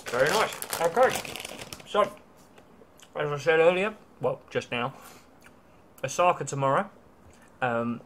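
A man's voice: a little high, lively intonation, a little slow, long pauses, a bit bright, slight background noise. Wry British man on a webcam. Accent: British English. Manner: chatty and wry, with many ums and restarts. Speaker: travel vlogger. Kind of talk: casual food-tasting vlog. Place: small hotel room, indoors.

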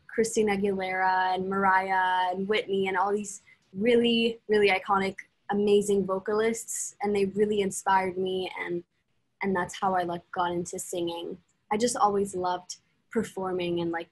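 Young woman, chatty and upbeat, laptop mic on a video call, small room. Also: medium pitch. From Sweden. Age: young adult. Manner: chatty and upbeat, fillers and restarts. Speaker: singer being interviewed. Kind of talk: casual video-call interview. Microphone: laptop mic on a video call, no earphones.

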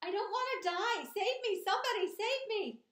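Storybook piglet, male, childlike and hysterical, high tearful pleading, performed by a woman; roomy sound. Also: very slow, full character voice. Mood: screaming panic. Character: young male pig, naive, panicky, tearful. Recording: echoey room.

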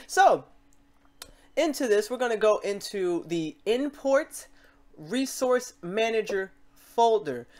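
A woman hosting an informal software tutorial, talking in a chatty, rambling way and often correcting herself. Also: mid-range voice. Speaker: tutorial host.

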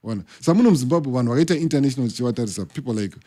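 Zimbabwean guy on a podcast, talks fast and kind of lectures, dark mellow tone. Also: mid-range voice, expressive. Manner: emphatic, lecturing, talks with his hands. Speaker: podcast guest, music industry figure. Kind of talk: podcast interview, mixing English and Shona. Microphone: studio mic on boom arm.

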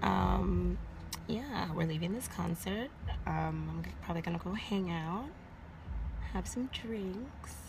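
A woman's voice: fairly low pitch, slow, vocal fry, background noise. A young woman chatting casually with her livestream viewers from a car, holding her phone at arm's length and cursing now and then. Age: young adult. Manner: relaxed, chatty, answers viewer comments, curses. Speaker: livestream host. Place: inside a car.

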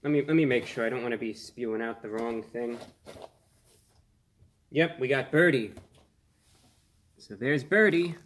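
Measured young man reviewing food. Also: medium pitch. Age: 20s. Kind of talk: casual product review to camera. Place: small indoor room.

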